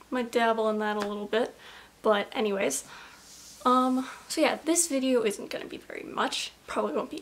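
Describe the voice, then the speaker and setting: A young woman talks straight to her camera in a small room, rambling and laughing at herself in a fairly high voice. Allergies leave a slight rasp in her throat. The camera's built-in mic picks up a faint hiss behind her. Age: young adult. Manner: rambling, giggly, self-conscious. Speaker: vlogger. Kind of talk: casual chat to camera.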